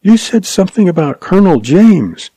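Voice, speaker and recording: A man voicing a gentle, earnest young woman in a western story, speaking reassuringly. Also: sits high, sing-song, moderate pace, husky, no room sound, pitched above the performer's own voice, full character voice. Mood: tender, reassuring.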